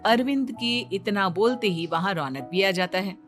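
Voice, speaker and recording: A woman's voice: medium pitch, animated, normal speed, faint music behind. A woman narrating a scripted moral story for a cartoon, in storytelling style.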